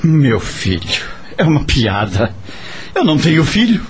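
An actor playing a bitter, brooding working man with a husky voice and a curt, questioning manner in a radio drama. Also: a little high, wildly swooping pitch, measured pace. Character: bitter, brooding working man haunted by rejecting his son.

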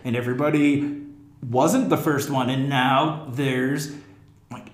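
A man's voice: medium pitch, steady tone, slow. An American man co-hosting a casual podcast chat about theatre. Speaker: podcast co-host; theatre teacher. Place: echoey indoor room. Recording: roomy, echoing podcast room.

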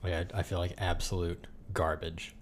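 Deep-voiced sarcastic man hosting a podcast. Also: level tone, normal speed, a little hiss or hum. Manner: sarcastic, joking. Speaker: podcast host. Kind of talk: casual podcast chat. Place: room with another person.

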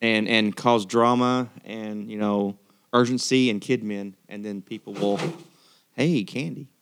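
A man's voice: on the low side, steady tone, a little slow, smooth. A man hosting a casual podcast, bantering with and steering his group like a jokey ringleader. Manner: jokey, ringleader prodding the others. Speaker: podcast host.

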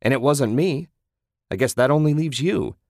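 Male reader doing a curt, accusing husband, low voice, dry read. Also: animated, moderate pace, no echo, lightly acted. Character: hot-tempered, accusing husband obsessed with his car.

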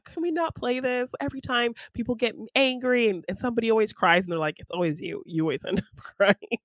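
A woman's voice: on the low side, a bit bright, no echo. Giggly woman chatting casually about films. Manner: giggly, acts out characters' lines. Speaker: podcast co-host discussing films. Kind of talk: casual film chat, retelling scenes.

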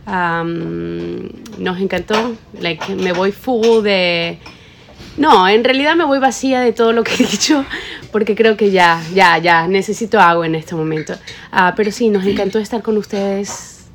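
A woman's voice: mid-range voice, a little slow, creaky, slight background noise. A young woman from Ecuador with an Ecuadorian Spanish accent, co-hosting a casual lunch-break podcast and mixing English words into her talk. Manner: relaxed, mixes in English words. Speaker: podcast co-host. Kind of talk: casual chat. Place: kitchen break room.

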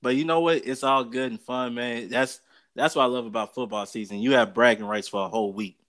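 A man's voice: medium pitch, fairly even intonation, fairly quick, fairly bright tone. An American man co-hosting a casual sports talk show, giving opinions in an emphatic, colloquial way and repeating phrases for effect.

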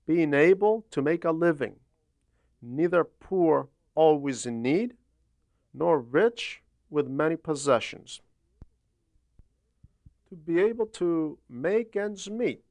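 A man delivers a religious homily at an unhurried, slow pace, with a slightly high-set voice. He teaches deliberately, breaking his sentences into short phrases with pauses. The sound is dry and close, with very little room in it. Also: no room sound. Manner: deliberate, didactic, with frequent pauses between phrases. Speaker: homily reader and translator. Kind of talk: religious homily, read aloud.

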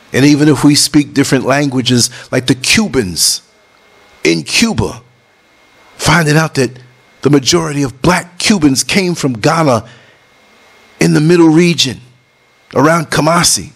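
Vlogger, middle-aged man, casual but preachy, leaps between high and low tones. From New York City. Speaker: vlogger and podcast host. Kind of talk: casual talk over concert footage.

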